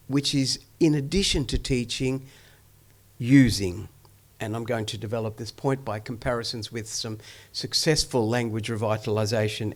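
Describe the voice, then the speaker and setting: A man presenting research in a lecture, talking loosely with many ums, restarts and asides. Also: medium pitch. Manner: discursive, many ums and self-corrections. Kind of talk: academic lecture. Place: event hall.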